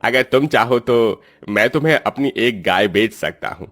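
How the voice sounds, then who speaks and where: A man's voice: mid-range voice, moderate pace, fairly bright tone, lightly acted. A man voicing a greedy, cruel middle-aged moneylender in a cartoon story, with a harsh, scolding, scheming way of talking.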